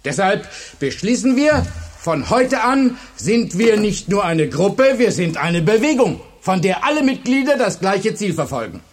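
An actor playing a charismatic, authoritative high school teacher who instructs and rallies a class in a radio drama. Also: male voice, big pitch swings, measured pace, full character voice. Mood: rousing, commanding. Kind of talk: German radio drama acting.

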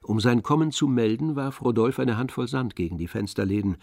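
A man playing the composed, observant narrator of a literary radio drama, with measured delivery. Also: medium pitch, darkish tone, lightly acted.

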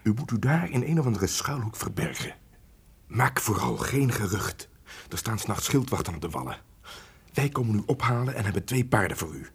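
Brave secretive servant in a radio play, deep and really breathy, earnest. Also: male voice, sing-song, fairly quick, heavily acted. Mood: conspiratorial. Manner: earnest, conspiratorial. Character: honest, brave, secretive castle servant plotting a rescue. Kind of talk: theatrical Dutch radio drama acting.

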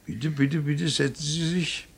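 Earnest art professor and father: middle-aged, husky, creaky voice, emphatic stage delivery for classic radio drama. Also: on the high side, a little slow, heavily acted. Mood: courteous, brisk. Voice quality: husky, gravelly. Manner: emphatic, declamatory, with muttered asides. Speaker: actor in a radio play.

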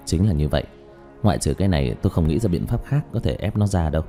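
Man as a deep, calm young exorcist. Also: soft background music. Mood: matter-of-fact. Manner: low-key, measured, explaining. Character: calm, matter-of-fact young male ghost-hunting exorcist. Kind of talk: audiobook character dialogue.

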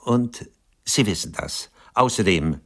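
An actor playing a proud, ageing writer in a radio drama, speaking in a laconic, wry and resigned manner. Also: male voice, lowish voice, lively intonation, slow pace. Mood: bitter, wry. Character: weary, dry, proud ageing male writer and doctor. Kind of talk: German-language radio drama acting.

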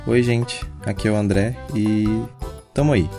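A man's voice: on the low side, fairly even intonation, normal speed, over music. A Brazilian man chatting casually as a podcast commentator. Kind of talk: casual chat.

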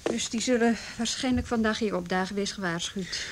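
An actress playing a seasoned, thoughtful juvenile police officer in a frank, naturalistic radio drama style. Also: female voice, medium pitch, a little fast, noisy background, close to an everyday voice. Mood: matter-of-fact. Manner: frank, thoughtful, matter-of-fact.

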